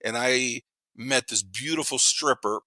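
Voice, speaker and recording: A man's voice: mid-range voice, measured pace, pushed and bright, dry recording. A man, chatting casually as a podcast guest and telling stories bluntly, with slang, swearing and repeated words for emphasis. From Panama. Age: 50s. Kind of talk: casual chat, storytelling.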